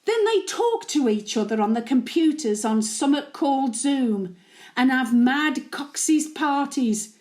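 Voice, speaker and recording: A woman's voice: sits high, animated, slow, a little mellow. A woman playing a chatty, opinionated elderly working-class widow with a Birmingham accent, rambling confidingly in a dramatic monologue. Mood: fond, gossipy. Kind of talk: dramatic monologue in character, addressed to an absent husband.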